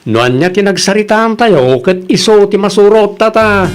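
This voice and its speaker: A man's voice: high voice, moderate pace, over music, lightly acted. A Filipino man playing a cocky, hot-tempered jeepney driver in an Ilocano comedy radio drama, brash and boastful in manner. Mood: chatty, agreeable. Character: cocky, hot-headed jeepney driver son-in-law, proud and defiant.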